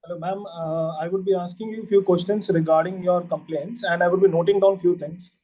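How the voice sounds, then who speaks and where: High, mellow, polite young-sounding male doctor. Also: steady tone, fairly quick, low-quality recording. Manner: polite, hesitant, formal, often says ma'am. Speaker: doctor practising a patient consultation. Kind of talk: role-played clinical history taking.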